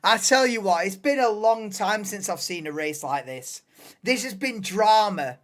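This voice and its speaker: A woman hosting a live race commentary stream, excitable and bursting into shouts and laughs, her voice going hoarse. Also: mid-range voice, a little slow. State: losing voice, hoarse.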